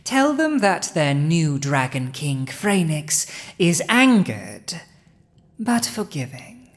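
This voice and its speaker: A woman plays a cunning dragon who rules in human form, in an intimate fantasy audio drama. The voice sits somewhat low and moves very slowly, with deliberate pauses. The delivery is haughty, smug and commanding, addressed straight to the listener. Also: sing-song, exaggerated voice. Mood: commanding, silky.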